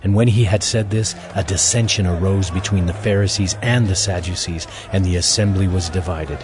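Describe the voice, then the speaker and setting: Low-voiced guy narrating the bible, scripted, music playing behind him. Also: steady tone, moderate pace.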